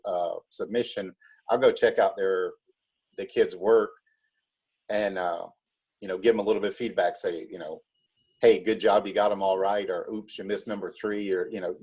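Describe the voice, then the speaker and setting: A man's voice: lowish voice, fairly even intonation, a little fast, darkish tone, slightly rough, low-quality recording. An American man, a drama teacher, chatting casually as an interview guest in a rambling, wry, easygoing way.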